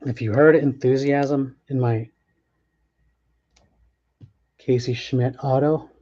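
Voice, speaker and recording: A man's voice: medium pitch, fairly even intonation, unhurried, mellow, muffled recording. A man hosting a sports card break, talking casually and thinking aloud as he opens packs. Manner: chatty, offhand, thinks aloud with restarts. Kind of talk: casual live commentary while opening packs.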